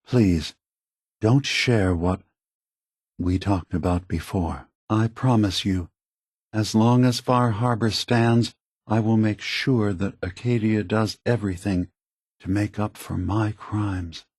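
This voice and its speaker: A man playing a weary, grave synth leader in a video game, speaking deliberately and solemnly with a husky voice. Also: lowish voice, steady tone, darkish tone, no room sound, clean studio sound. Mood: calm reassurance. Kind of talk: scripted video game voice acting.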